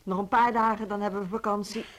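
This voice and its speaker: Actress as cordial woman, composed, earnest, measured, medium pitch, radio drama. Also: fairly even intonation, slight background noise, close to an everyday voice. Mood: relieved, pleased. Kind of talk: Dutch radio play acting.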